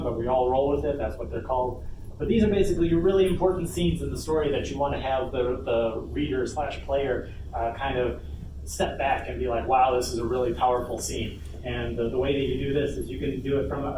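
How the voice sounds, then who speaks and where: A man giving an informal instructional panel talk, speaking casually and haltingly with playful asides. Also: mid-range voice. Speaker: workshop presenter on a convention panel. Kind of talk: informal instructional presentation to an audience. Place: convention panel room. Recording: noisy, echoey room, distant mic.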